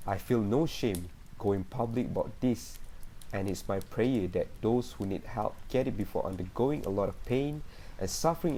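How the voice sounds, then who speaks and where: A man's voice: on the low side, dark tone, a little hiss or hum, close to an everyday voice, poor audio. A man playing an earnest, troubled therapy patient giving a confessional monologue in an amateur radio drama.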